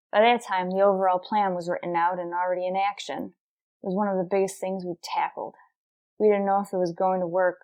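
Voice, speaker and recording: Woman acting a terse, deadpan female police detective. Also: lowish voice, close to an everyday voice, poor audio. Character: determined, businesslike female police detective sergeant narrating her case. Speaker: narrator and lead actor. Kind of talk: 1950s police radio drama narration, clipped and matter-of-fact.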